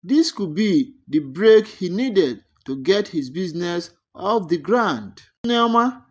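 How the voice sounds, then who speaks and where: A storyteller narrates a scripted tale at an unhurried pace. The delivery is animated, with the pitch rising and falling freely across each sentence. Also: husky, muffled recording.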